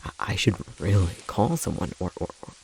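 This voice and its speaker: A man acting an easily flustered, anxious young boyfriend in an ASMR roleplay, stammering nervously in a breathy, husky voice. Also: deep voice, expressive, moderate pace, a little hiss or hum. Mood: anxious, hesitant.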